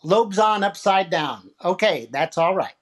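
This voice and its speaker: A man's voice: sits high, expressive, a little slow, fairly bright tone, full character voice. Guy doing a wisecracking 40s radio comedy host, flippant heckler tossing one-liners. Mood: flippant, wry. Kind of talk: 1940s radio comedy acting.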